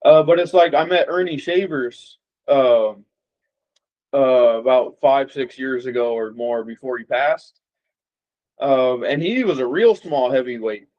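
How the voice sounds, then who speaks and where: A man's voice: medium pitch, a little slow. A young man from Las Vegas, a boxer guest, talking casually and rambling with fillers. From Las Vegas, USA. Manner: relaxed, rambling with many fillers. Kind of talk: casual interview chat. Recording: dull lo-fi audio.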